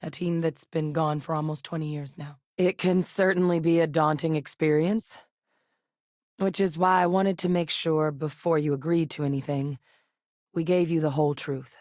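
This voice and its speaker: A woman voicing an earnest, middle-aged scientist and war veteran in a video game, speaking in a measured, articulate, serious way. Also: low-pitched, steady tone, darkish tone, no echo, studio quality, close to an everyday voice. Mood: composed, earnest. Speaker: voice actress in a space game. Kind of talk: scripted video game dialogue.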